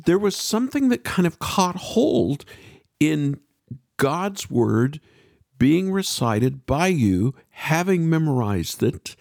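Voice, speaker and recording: A man's voice: on the high side, big pitch swings, takes it slowly, gravelly, a little mellow, no echo, studio quality. A man hosting a faith podcast, delivering introductions and interview questions in a radio style.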